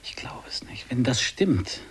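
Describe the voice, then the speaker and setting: A man's voice: unhurried, a bit bright, whispered, natural voice. A man acting a suspicious, restless gag writer in a German radio drama, speaking in terse, questioning phrases. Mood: urgent, hushed. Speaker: actor.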